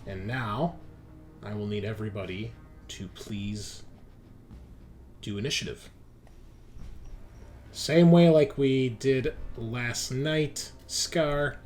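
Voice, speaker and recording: A man's voice: lowish voice, takes it slowly, pauses often, a little mellow. A man narrating casually as game master, improvising descriptions with informal asides. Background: background music.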